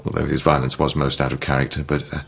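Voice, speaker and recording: An actor playing a brusque, troubled psychiatrist in a radio drama, clipped and halting, stammering under strain. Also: male voice, very deep voice, level tone, creaky, heavily acted. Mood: tense, insistent. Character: brusque, troubled, secretive male psychiatrist, easily rattled.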